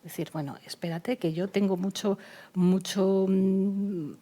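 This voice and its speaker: A woman speaking on an expert panel, with a soft and mellow tone. Her speech is halting and searching, full of fillers and restarted phrases. She sounds like she is working out her points as she talks. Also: medium pitch, fairly even intonation. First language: not Spanish. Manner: hesitant, many fillers and restarts. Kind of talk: panel discussion.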